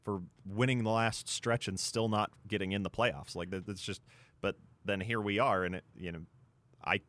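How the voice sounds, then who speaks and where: A man with a fairly low voice co-hosts a casual sports discussion. He explains things conversationally and a bit haltingly, working through each point as he goes. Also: fairly even intonation, a bit bright, no room sound. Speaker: sports talk show co-host.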